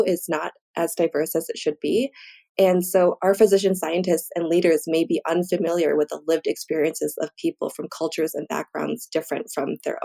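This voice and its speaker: A woman's voice: sits low, warm tone. A woman speaking as an expert guest in an interview, explaining academic research in structured, articulate points with examples.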